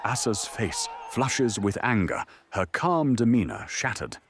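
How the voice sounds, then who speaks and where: A man with a gravelly, husky voice giving dramatic scripted narration for an audio drama. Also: mid-range voice, very animated, unhurried, background noise.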